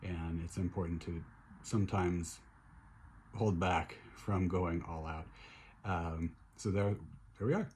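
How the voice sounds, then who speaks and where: A middle-aged man with a hoarse voice, chatting casually in a video diary, upbeat and relaxed with many fillers and restarts. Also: sits low, slow pace, long pauses, slight background noise. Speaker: vlogger recovering from surgery.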